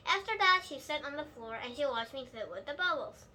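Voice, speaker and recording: Very high, bright female storybook reader. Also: animated, a little fast, a little hiss or hum. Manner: stumbles and rereads words. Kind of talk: children's book read-aloud.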